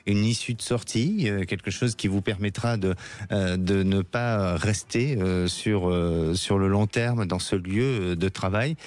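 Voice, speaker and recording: A man's voice: lowish voice, measured pace, dry recording. Hesitant, reassuring radio psychic man. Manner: hesitant, many "euh" fillers, gentle reassuring. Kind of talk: live call-in consultation.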